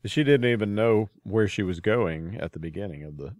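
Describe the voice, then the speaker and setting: Man, lowish voice, podcast interviewer, conversational, slightly halting prompts, dry close sound. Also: expressive, a little mellow, no room sound.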